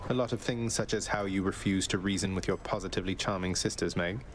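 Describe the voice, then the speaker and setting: A man's voice: sits low, fairly even intonation, quick pace, crowd noise, close to an everyday voice. A man playing a defiant, sardonic young underworld prince in a video game, with a dry, casual delivery. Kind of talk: video game character acting.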